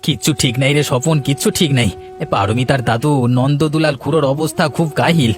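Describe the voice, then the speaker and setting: A man's voice: a little high, quick pace, soft background music, close to an everyday voice. A man voicing an earnest, loyal village uncle in a cartoon, urgent and pleading in his way of talking. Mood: alarmed, urgent. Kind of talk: cartoon voice acting.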